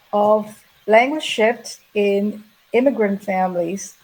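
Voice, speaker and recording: A middle-aged woman giving a measured, explanatory plenary lecture at an academic conference. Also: medium pitch, a little mellow, low-quality recording. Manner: measured, explanatory, reading prepared remarks. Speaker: plenary speaker.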